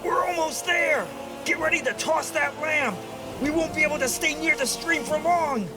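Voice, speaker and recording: An actor playing a brash, streetwise flying sidekick in an audio drama, cocky and loyal, full of folksy slang. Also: male voice, very high-pitched, moderate pace, over music. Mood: urgent.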